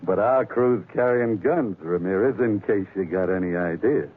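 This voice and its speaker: A man's voice: fairly low pitch, moderate pace, natural voice. A man playing a scrappy, sardonic ship's first officer in a 1940s radio drama, blunt and wisecracking. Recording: muffled old 1940s radio, faint noise.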